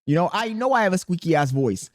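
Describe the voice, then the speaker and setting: A man with a squeaky voice talking casually and profanely to camera as a content creator, rambling and animated. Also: quick pace, no room sound, studio quality. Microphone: podcast dynamic mic.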